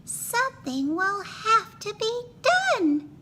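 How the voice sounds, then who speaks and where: Storybook teacher, played by a woman: sweet, mild lady, soaring high pitch, unhurried delivery. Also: wildly swooping pitch, bright, forceful tone, slight background noise, heavily acted. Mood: sweet, pleading.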